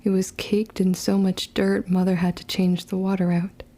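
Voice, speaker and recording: Woman actor, young witch girl, guarded, wounded, archaic diction, even pitch, soft-edged tone, audio drama storytelling. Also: normal speed, natural voice. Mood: anguished. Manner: archaic, formal period diction. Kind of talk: confessional first-person audio drama narration and dialogue.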